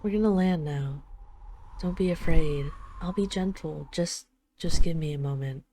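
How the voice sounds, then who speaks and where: A woman playing a dragon queen who is tender, gently teasing and possessive in an intimate roleplay audio drama. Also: low-pitched, a little slow, slight background noise. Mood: soft, wistful. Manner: affectionate, gently teasing, wistful.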